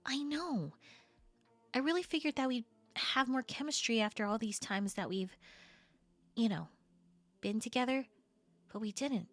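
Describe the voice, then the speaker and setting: Woman acting flirty, expressive best friend, close and dry. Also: a little high, measured pace, long pauses, dry recording, soft background music. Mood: exasperated, defensive. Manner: casual, expressive, hesitant trailing-off phrases. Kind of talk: intimate audio roleplay acting, conversational.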